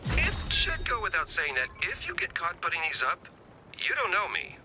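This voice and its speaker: An actor playing a flippant, scheming, laid-back ex-spy buddy in a video game, talking in a wry, slangy, rambling way. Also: male voice, sits high, huge pitch swings, moderate pace, bright, forceful tone, faint music behind, heavily acted. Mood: sly, joking. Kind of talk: scripted game dialogue, casual chatty.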